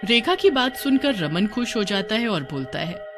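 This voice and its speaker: A woman narrating a scripted story in an expressive storytelling manner. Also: a little high, moderate pace, over music.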